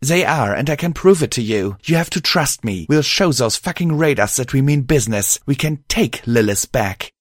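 A caring alicorn mare acted out by a man from a fantasy story, pitched well above his normal speech. The delivery is earnest and pleading, with a close, dry sound. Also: lively intonation, a little slow, dry recording. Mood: insistent.

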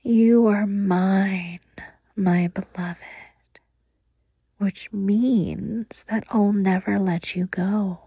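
A woman playing a doting, protective motherly lover, speaking softly in a cooing, often whispered way close to the mic. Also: mid-range voice, fairly even intonation, drawn-out words, husky, no room sound, heavily acted. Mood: soothing, doting. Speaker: audio roleplay performer. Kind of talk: intimate whispered audio roleplay.

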